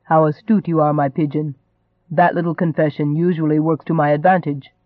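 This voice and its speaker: A man's voice: fairly high pitch, little pitch movement, brisk, dry recording, phone-quality sound, close to an everyday voice. A man voicing a charming young nobleman in an audiobook, a teasing and cajoling rogue who stays unruffled.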